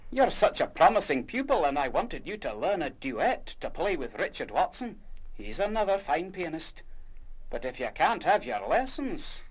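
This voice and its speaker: A man playing a kindly, well-spoken music teacher, gently reproachful, in a radio play. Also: on the high side, sing-song, normal speed, husky, poor audio. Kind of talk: full-cast radio play acting.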